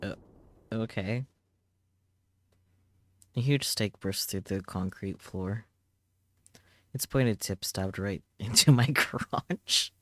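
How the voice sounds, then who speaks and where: Low-voiced man reading a game aloud. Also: slow, long pauses, a bit bright, no room sound. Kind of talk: dramatic read-aloud of visual novel narration with casual asides.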